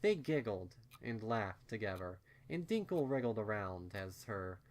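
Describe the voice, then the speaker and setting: A man's voice: fairly low pitch, very animated, a little slow, darkish tone. A man reading fan fiction aloud as scripted story narration.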